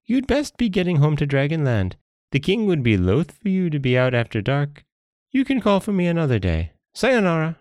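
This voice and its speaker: Storybook monkey, played by a man: high-pitched, clever and excitable, exclaims in old-fashioned phrases, very dry close sound. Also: moderate pace, dry recording. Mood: feigning concern. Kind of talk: storybook character voice.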